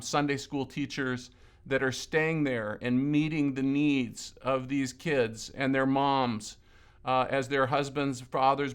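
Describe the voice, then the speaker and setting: Guy from lebanon interviewing someone, clean resonant voice, earnest, kinda halting. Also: mid-range voice, clear, resonant tone. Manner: earnest, halting with repeated words. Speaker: ministry leader interviewing a colleague. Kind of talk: conversational interview.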